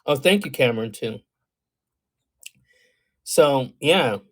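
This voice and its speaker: A man's voice: medium pitch, animated, slow. A man giving astrology readings on a livestream, talking in a thoughtful, wandering way with many fillers, reading viewer comments aloud and reacting to them. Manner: meandering, thoughtful, many ums and you-knows. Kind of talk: livestream talk answering chat.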